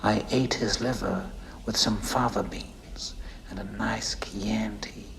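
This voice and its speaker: An actor playing a cultured, creepy killer in a thriller film, hushed and precise, savouring each word. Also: male voice, on the low side, fairly even intonation, slow pace, vocal fry, background noise. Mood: gloating calm.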